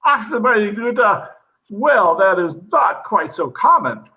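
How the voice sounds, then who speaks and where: A man playing an eccentric, absent-minded psychiatrist, halting and pompous, in old-time radio comedy style. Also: sits high, big pitch swings, sharp tone, heavily acted, low-quality recording. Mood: pompous, grave.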